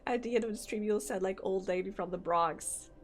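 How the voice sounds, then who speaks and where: A woman's voice: medium pitch, brisk, slight background noise. A woman live-streaming a game, rambling casually and chatting with viewers, her throat a little sore. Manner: rambling, thinks aloud, chats with viewers. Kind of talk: casual live-stream chat while playing. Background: faint game music.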